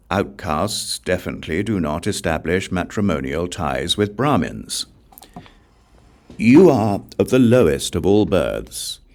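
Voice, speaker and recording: Guy doing a haughty brahmin man, deep n gravelly, full of contempt, scripture audiobook. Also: exaggerated voice. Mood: scornful. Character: haughty, scornful Brahmin man rejecting an inferior. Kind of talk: dramatic audiobook reading of scripture.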